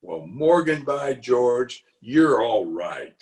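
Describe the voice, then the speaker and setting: Radio play uncle, male actor: middle-aged, blustering, kindly grump, drawn-out hesitant lines with animated swoops. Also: medium pitch. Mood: warm, approving. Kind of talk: 1940s radio comedy acting.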